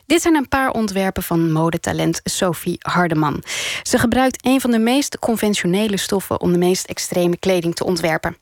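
A woman's voice: fairly low pitch, expressive, moderate pace, no room sound. A woman working as a radio host, reading introductions and putting questions to a guest in a studio interview.

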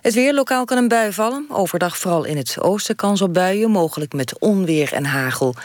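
A woman newsreader with a Netherlands Dutch accent delivers a scripted bulletin. Her voice is on the low side for a woman, formal and factual, in a very dry studio sound. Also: lively intonation, a little fast, no room sound. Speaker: radio newsreader.

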